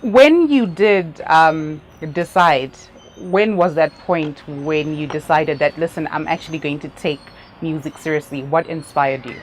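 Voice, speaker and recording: A woman from Zimbabwe hosting a youth talk show, interviewing in a lively, encouraging way and laughing easily. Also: sits low, a little slow, few pauses. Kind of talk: casual interview. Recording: faint background noise.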